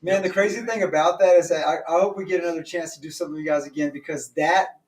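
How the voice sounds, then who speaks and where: A man's voice: fairly high pitch, fast, a little mellow. A man who sings in the band, telling a story in a chatty way and laughing often. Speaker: band vocalist. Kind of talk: casual chat. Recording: distant mic.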